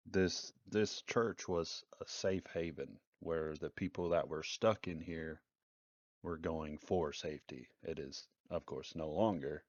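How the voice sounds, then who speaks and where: A man with a low voice plays in a tabletop roleplaying game. He explains the scene haltingly and repeats phrases as he goes. The recording is dry but dull and lo-fi. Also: a little mellow, no echo. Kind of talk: casual in-character tabletop game discussion.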